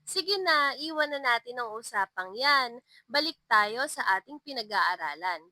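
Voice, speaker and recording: Kind, fondly teasing young schoolmistress played by a woman narrator, animated and bright, audio love story. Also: a little high, measured pace, no echo, exaggerated voice. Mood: teaching. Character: kind, playful young female village schoolteacher.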